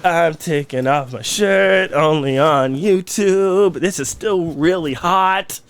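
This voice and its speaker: A man's voice: on the high side, slow pace, clean tone. A man in his thirties co-hosting a comedy podcast in a hammy put-on mock Russian accent, dropping little words as he jokes. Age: 30s. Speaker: podcast co-host.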